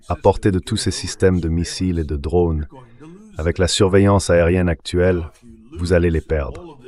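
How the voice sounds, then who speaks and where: A man's voice: low-pitched, darkish tone, close to an everyday voice. A man's synthetic dubbing voice, speaking as a guest giving translated interview commentary.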